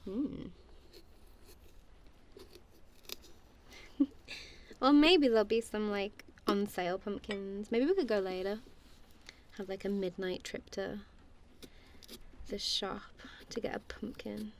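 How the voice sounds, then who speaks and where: A woman's voice: mid-range voice, a little slow, pauses often, slight background noise, lightly acted. A woman playing a goofy, affectionate friend in an ASMR roleplay, chatting casually in a playful, giggly, rambling way. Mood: teasing, chatty.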